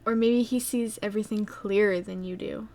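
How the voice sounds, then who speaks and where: A woman's voice: medium pitch, steady tone, moderate pace, darkish tone, slight background noise, lightly acted. A woman playing a worried, wistful young woman in an amateur wartime audio drama.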